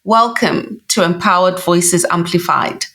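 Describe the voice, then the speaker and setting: A woman's voice: on the low side, unhurried, gravelly, a bit bright. Friendly woman podcast co-host. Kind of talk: scripted podcast intro and casual interviewing.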